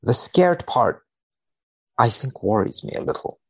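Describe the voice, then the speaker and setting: A man speaking as a researcher guest in conversation, wry and measured, giving tongue-in-cheek commentary with mock seriousness. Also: medium pitch, big pitch swings, vocal fry, breathy edge. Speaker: podcast guest, biomedical researcher.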